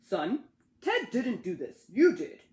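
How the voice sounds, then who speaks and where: A stern, fed-up father from a children's storybook, played by a woman. He scolds emphatically with big swings in pitch. The recording has a roomy echo. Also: big pitch swings, slow pace, a little mellow. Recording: roomy, echoey sound.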